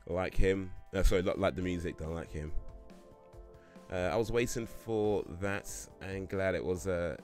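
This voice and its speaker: A man's voice: deep voice, fairly even intonation, moderate pace, darkish tone, faint music behind. A man in his late thirties hosting a casual livestream Q&A, rambling through chat questions with lots of fillers and restarts and frequent chuckles. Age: 30s.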